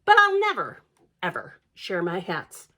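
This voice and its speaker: Woman voicing Hetty, an emphatic storybook bear, swooping pitch. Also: wildly swooping pitch, sharp tone, full character voice. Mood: firm, possessive. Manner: emphatic, drawn-out refusals. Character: Hetty, possessive hat-loving female bear.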